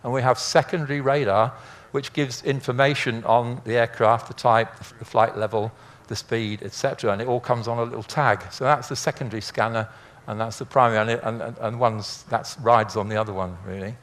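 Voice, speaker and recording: A man's voice: medium pitch, steady tone, brisk, hardly any pauses, fairly bright tone. An older man giving an informal illustrated lecture in a chatty, rambling, anecdotal way with dry humour. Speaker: speaker, former air traffic controller.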